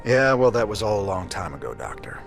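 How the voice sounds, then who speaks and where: A man's voice: on the low side, big pitch swings, fairly quick, faint music behind. An actor playing a weary, laconic male rebel commander with a dry, laid-back drawl.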